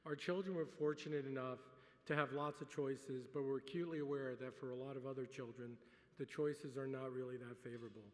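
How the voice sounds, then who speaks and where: Middle-aged honoree at a podium, native French speaker, relaxed with dry humor, lots of ums, in a long-echo banquet hall. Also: male voice, mid-range voice, brisk. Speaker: gala honoree giving an acceptance speech. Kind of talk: speech at a gala dinner. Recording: reverberant hall.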